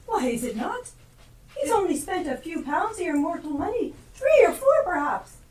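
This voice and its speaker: A woman on stage playing a ghostly spirit guide who questions an old miser in a measured, pointed way. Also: extremely high pitch, big pitch swings, darkish tone. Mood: pointed, challenging. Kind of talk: stage play acting. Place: theatre stage. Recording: roomy live stage, distant mic, faint noise.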